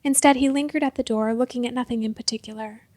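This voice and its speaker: A woman narrating a literary short story in a measured, expressive storytelling manner. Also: a little high.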